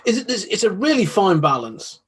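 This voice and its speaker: Bloke, bit husky, higher voice, hesitant chatty guest. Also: male voice, sing-song, fairly quick, a bit bright. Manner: hesitant, lots of fillers and restarts. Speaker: podcast guest. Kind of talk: casual nerd chat.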